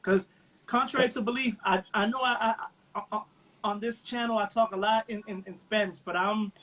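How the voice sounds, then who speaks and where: A man's voice: high voice, steady tone, a little fast, fairly bright tone, low-quality recording. A middle-aged man, born in Cuba and raised in America, talking baseball on a live chat. He speaks with emphasis and often repeats words as he starts a thought. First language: English. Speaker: Cuban baseball blogger. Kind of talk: casual chat on a livestream.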